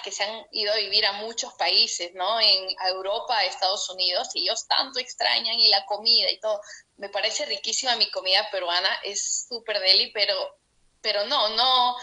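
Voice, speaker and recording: A woman's voice: mid-range voice, bright, forceful tone, low-quality recording. A young Peruvian woman telling her story over a video call, heartfelt and laughing now and then. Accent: Peruvian Spanish. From Peru. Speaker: interviewee. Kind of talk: personal account in an interview.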